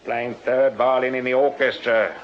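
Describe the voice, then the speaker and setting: An actor playing an unyielding Victorian police sergeant in a radio drama, English-accented, brisk, authoritative and dryly sardonic. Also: male voice, medium pitch, steady tone, lo-fi sound, slight background noise, natural voice. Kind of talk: full-cast period radio drama acting.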